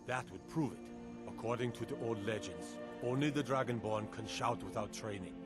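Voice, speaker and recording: A man playing a proud, excitable Nord guard in a fantasy video game, earnest and declamatory about old legends. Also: on the low side, moderate pace, close to an everyday voice. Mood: awed, earnest. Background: music.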